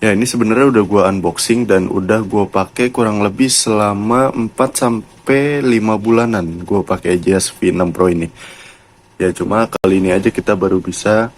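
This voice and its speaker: A man's voice: lowish voice, fairly even intonation, normal speed. A man with a colloquial Jakarta Indonesian accent, giving a casual, chatty product review and addressing viewers like mates. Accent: Jakarta-style colloquial Indonesian. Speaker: YouTube motovlogger and product reviewer.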